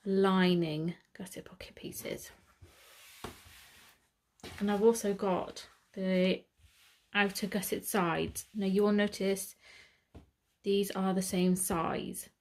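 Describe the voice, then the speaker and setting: A British woman giving a step-by-step sewing tutorial, chatting casually and correcting herself as she works. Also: medium pitch. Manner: chatty, self-correcting, thinking aloud.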